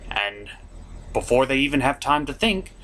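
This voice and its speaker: A man's voice: medium pitch, animated, moderate pace, fairly bright tone. A man giving a casual scripted review commentary with a theatrical, emphatic delivery. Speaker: cartoon reviewer and show host. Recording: noticeable background noise.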